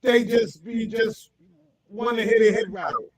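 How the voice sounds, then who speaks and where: A man hosting a casual interview, animated and full of affirmations and fillers. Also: very high voice, unhurried, poor audio. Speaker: host, radio personality.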